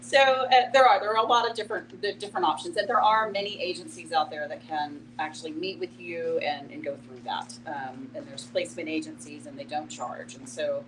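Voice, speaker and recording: A woman facilitating a group discussion, explaining things informally in halting, piece-by-piece phrases. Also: deep voice, steady tone. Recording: clear background noise and hum.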